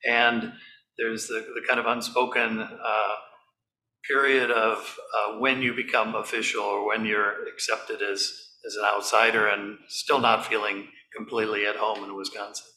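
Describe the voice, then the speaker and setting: A man giving an informal talk to a group, relaxed and halting, with many fillers. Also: on the low side. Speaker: speaker leading a group talk. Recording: echoey room.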